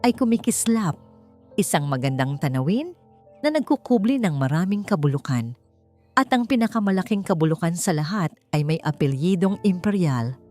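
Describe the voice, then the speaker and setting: A woman's voice: low voice, dark tone. A woman narrating a scripted dramatic story.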